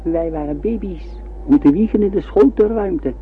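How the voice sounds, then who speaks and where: A man's voice: high-pitched, normal speed, natural voice. An actor voicing a tiny dwarf crewman of a spaceship, narrating in a radio play. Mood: matter-of-fact explaining. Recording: tinny, hissy old radio recording, humming.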